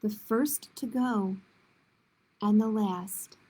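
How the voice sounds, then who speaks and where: A woman's voice: mid-range voice, drawn-out words, mellow, slightly rough. A woman hosting a children's storytime, reading picture books aloud in simple phrases with casual asides to her listeners. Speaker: children's librarian, storytime host.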